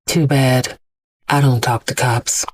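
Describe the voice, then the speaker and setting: A woman's voice: very deep voice, fairly even intonation, takes it slowly, dry recording, heavily acted. An actress playing a guarded, grieving middle-aged mother, an immortal vigilante, telling her story in a blunt, plainspoken, sorrowful way in an audio drama. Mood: curt, defiant. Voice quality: husky.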